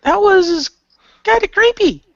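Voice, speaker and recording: A man improvising lines and narration in a radio play with a casual, animated delivery. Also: very high voice, slow, vocal fry, no room sound. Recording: dry, dull lo-fi microphone.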